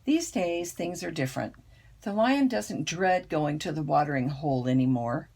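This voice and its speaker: Gravelly older woman reading a picture book. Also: low voice, animated, a little mellow, slight background noise. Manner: unhurried, dramatic storytelling emphasis. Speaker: grandmother reading aloud. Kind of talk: expressive children's picture book read-aloud.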